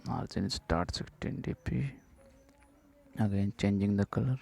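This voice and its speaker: A man slowly talking through app-building steps in a coding tutorial, dictating each step with short pauses and fillers. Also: on the low side, gravelly, husky. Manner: slow, flat dictation of code steps with "uh" fillers. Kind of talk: screen-recorded coding walkthrough.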